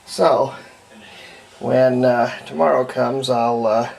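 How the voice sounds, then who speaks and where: A man, middle-aged, giving an unhurried, rambling, step-by-step commentary on hands-on restoration work, recorded indoors at home. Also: mid-range voice, fairly even intonation, creaky, darkish tone, poor audio. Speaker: hobbyist radio restorer presenting own repair videos. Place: indoors at home, partly in a bathroom.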